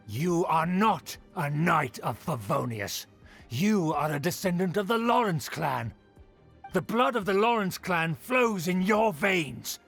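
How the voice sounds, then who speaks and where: A man's voice: sits high, vocal fry, a bit bright, full character voice. A man voicing a haughty, scheming noble uncle in a video game, lecturing pompously and theatrically with proud indignation.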